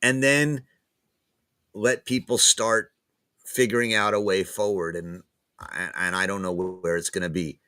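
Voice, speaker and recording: Bright-toned man, halting and reflective. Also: lowish voice, fairly even intonation, no room sound, clean studio sound. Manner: hesitant, many restarts and repeated words. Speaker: guest professor. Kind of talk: casual podcast interview.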